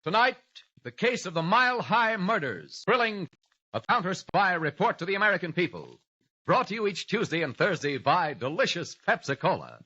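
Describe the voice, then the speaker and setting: A man's voice: high voice, sing-song, normal speed, breathy edge, faint music behind. A male announcer giving an emphatic vintage radio sponsor read and show opening. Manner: bright, emphatic sales delivery. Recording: muffled old radio broadcast.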